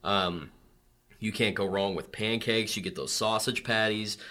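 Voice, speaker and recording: Man, deep bright voice, relaxed guest chatting with emphasis. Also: a little slow. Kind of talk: casual chat.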